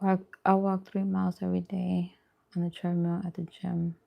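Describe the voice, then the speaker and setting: A woman's voice: lowish voice, completely flat delivery, moderate pace, creaky, lo-fi sound. A woman chatting casually as a vlog host, informal and conversational, often repeating phrases for emphasis. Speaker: host of a food and vlog channel. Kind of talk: casual eating-show chat answering viewer questions.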